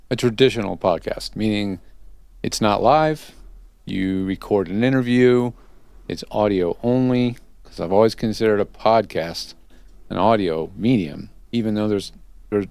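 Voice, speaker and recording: A man's voice: on the low side, vocal fry, a little mellow, no room sound. A man hosting a podcast interview, chatting casually in a conversational, self-deprecating way. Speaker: podcast host interviewing a guest.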